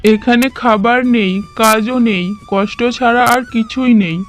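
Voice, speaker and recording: A woman's voice: fairly high pitch, fairly even intonation, takes it slowly. A woman voicing a stern older village woman who scolds and warns in a cartoon moral story. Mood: ominous warning. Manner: scolding, admonishing. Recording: music behind.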